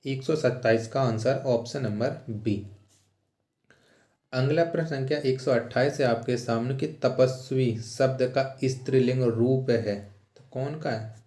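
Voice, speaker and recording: Male exam coach, soft-edged warm tone, question-then-answer teaching with repeated key words, noticeably reverberant room. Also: medium pitch, a little slow. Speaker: exam-prep Hindi grammar teacher. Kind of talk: instructional question-and-answer walkthrough. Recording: roomy, echoing sound.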